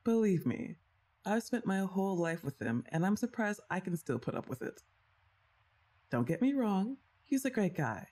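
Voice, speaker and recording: A young woman plays a best friend's older sister in an ASMR roleplay, chatty and teasing, laughing often. Her pitch moves a lot and sounds lively. She talks straight to the listener. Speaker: voice actress.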